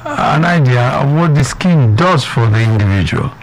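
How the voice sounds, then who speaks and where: A Nigerian man runs a radio health show from the studio, his voice carrying a gravelly rasp. He talks in a loose, chatty way, full of fillers and "isn't it?" checks, with a faint hiss of noise behind. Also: medium pitch, lively intonation, normal speed, rough recording.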